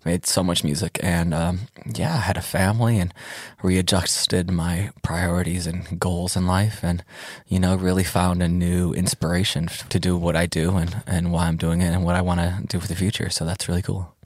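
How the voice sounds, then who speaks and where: A young adult man, a DJ, speaking in a relaxed interview, laughing often as he tells stories with animated quoting. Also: sits low, normal speed, hardly any pauses, no echo, clean studio sound. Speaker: DJ and interview guest.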